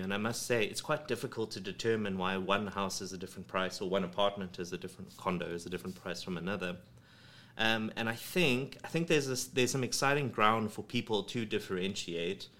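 A man's voice: fairly low pitch, fairly bright tone. A man from South Africa talking casually in an interview, rambling with many ums and restarts. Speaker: creative studio head, guest. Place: home studio. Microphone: studio microphone.

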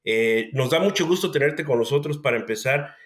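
Man, podcast host, mid-pitched, roomy echo, courteous filler-heavy delivery. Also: animated, fairly quick, fairly bright tone. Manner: polite, filler-heavy introductions. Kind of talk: interview hosting. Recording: roomy echoey audio.

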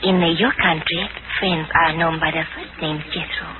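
Woman playing coy Chinese shop madam, formal, measured, poised, noisy vintage radio drama. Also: low-pitched, little pitch movement, natural voice. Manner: formal, measured, silky politeness. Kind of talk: 1940s radio drama acting. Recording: noisy, tinny old radio recording.

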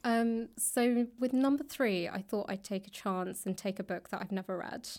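A woman with a southern British accent chatting casually as an interview guest, tentative and pausing mid-thought. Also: medium pitch, darkish tone. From London, England. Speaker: interview guest, author and actor. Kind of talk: casual chat. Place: indoors, in a library.

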